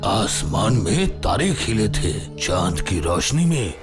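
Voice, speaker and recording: A man playing an older uncle who recounts his ghostly encounter in measured, suspenseful phrases for a horror audio story. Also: low-pitched, sing-song, over loud music, exaggerated voice.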